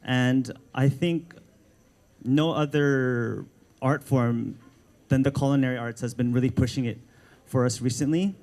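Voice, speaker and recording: Man, filipino american accent, mellow tone, halting speech full of fillers. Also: mid-range voice, steady tone. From United States. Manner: hesitant, many ums and restarts. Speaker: art director. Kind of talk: speech at a book launch.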